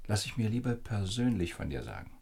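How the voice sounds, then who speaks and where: A man's voice: lowish voice, sing-song, a little fast, creaky, a little mellow, husky, exaggerated voice. An actor playing an evasive, flirtatious man in his mid-thirties in a radio play. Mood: flirting. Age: 30s. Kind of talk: German radio play dialogue.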